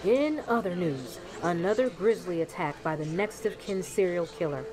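Audio drama newsreader: actress as formal woman reporter, slightly low register, grim crime bulletin. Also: animated, normal speed, music in the background, natural voice. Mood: grave, formal. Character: crisp female news reporter delivering grim crime bulletin. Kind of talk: broadcast news delivery in audio drama.

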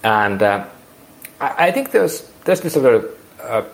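A man's voice: mid-range voice, big pitch swings, unhurried, vocal fry. A man in his forties from Finland with a Finnish accent, speaking English as a second language and rambling through an interview with many restarts. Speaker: guest. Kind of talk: casual interview.

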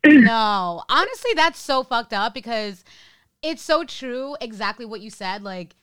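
Woman host chatting, blunt n expressive, bright crisp voice. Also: fairly high pitch, measured pace. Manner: expressive and blunt, swears freely. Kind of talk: casual chat.

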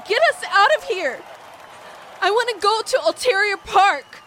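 A woman's voice: very high voice, expressive, a little slow, bright, forceful tone, a faint crowd, a little hiss or hum, full character voice. A woman voicing Marion, an old female forest critter who is indignant and distressed.

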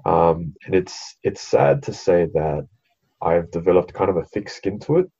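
Low husky laid-back Australian man. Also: expressive, a little slow, dark tone, lo-fi sound. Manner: laid-back, swears casually, hesitant with many ums. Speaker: podcast co-host. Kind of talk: casual chat.

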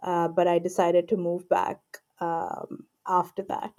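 A woman in her forties from Pakistan, calmly explaining her work as a guest in an interview with frequent ums and you-knows. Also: lowish voice, measured pace. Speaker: guest, IP lawyer. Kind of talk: casual interview talk.

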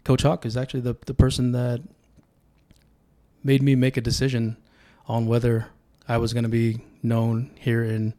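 A man from Sacramento, California, whose first language was Tongan, speaks as a podcast guest. His voice is dark and mellow, sitting at an ordinary middle pitch. He talks in a relaxed, reflective way and tells stories as he goes. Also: moderate pace. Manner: reflective, storytelling. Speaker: podcast guest, political candidate. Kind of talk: casual podcast conversation.

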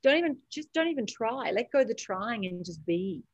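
A woman's voice: a little high, sing-song, a little fast, muffled recording. A woman with an Australian accent giving emphatic, encouraging advice as a guest in a motivational interview, often repeating phrases for effect. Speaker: podcast guest, author and coach.

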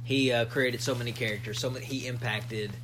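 A man in his thirties co-hosting a podcast, musing casually in halting, repetitive talk. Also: medium pitch, fairly even intonation, noisy background. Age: 30s. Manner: musing, halting, repeats himself. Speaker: podcast co-host. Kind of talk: casual chat.

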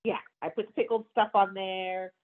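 A woman's voice: medium pitch, flat delivery, moderate pace, a crowd in the background. A woman talks casually and with enthusiasm, laughing now and then. Her voice comes through thin and tinny, like it's heard over a phone. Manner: enthusiastic, frequent laughs and asides. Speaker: wine bar owner. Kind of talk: casual conversation.

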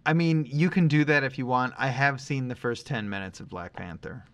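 A man's voice: mid-range voice, normal speed. A man co-hosting a podcast, giving matter-of-fact opinions in a casual discussion of film. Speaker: podcast co-host.

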